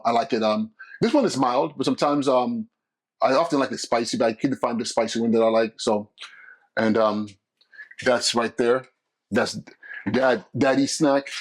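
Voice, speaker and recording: A man's voice: medium pitch, poor audio. A middle-aged man from California hosting his own podcast, talking casually with lots of restarts and fillers. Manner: conversational, many restarts and fillers. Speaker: podcast host and school principal. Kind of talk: casual solo podcast talk.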